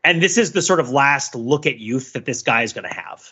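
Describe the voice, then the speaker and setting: A man's voice: medium pitch, a little fast, slightly rough, highs cut off. A man co-hosting a casual pop culture discussion, telling stories in a rambling way as he searches for words and checks that the listener follows. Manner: rambling, searching for words, animated storytelling with frequent check-ins. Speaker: podcast co-host.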